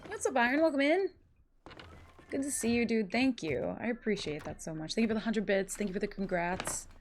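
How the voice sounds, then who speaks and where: A woman's voice: fairly high pitch, expressive, fairly quick, soft background music. A woman streamer with a stuffy, congested voice, chatting casually and thanking viewers, rambling with lots of fillers and swearing. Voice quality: nasal, congested. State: stuffy nose. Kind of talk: casual live-stream chat, reading chat aloud.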